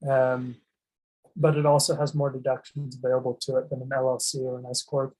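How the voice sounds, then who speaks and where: A man walks through a finance presentation in a flat, monotone delivery. His voice is slightly husky and sits at an ordinary middle pitch. He fills pauses with ums and often restarts words mid-phrase. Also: low-quality recording. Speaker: asset protection presenter. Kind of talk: explaining a slide presentation.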